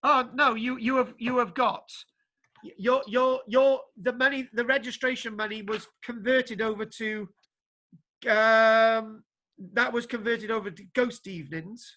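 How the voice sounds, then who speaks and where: A man lecturer from Wales talking casually to his online class, halting with many restarts and fillers. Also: very high-pitched, fairly even intonation, pushed and bright. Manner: halting, full of restarts and ums. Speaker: archaeology lecturer. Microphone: video call. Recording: spotty video call connection.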